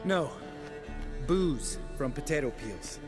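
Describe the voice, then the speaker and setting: A man's voice: a little high, lively intonation, takes it slowly, over music. An actor playing a sardonic, grudging male warrior in a fantasy game, with a dry, offhand, sarcastic delivery. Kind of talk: video game acting.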